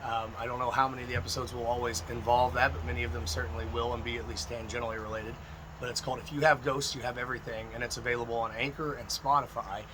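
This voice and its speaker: A man with a slightly froggy, hoarse voice gives informal, emphatic instruction as a distilling teacher talking to viewers. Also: medium pitch, little pitch movement, quick pace, noisy background. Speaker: distilling instructor and video host. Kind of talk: informal instructional talk to camera.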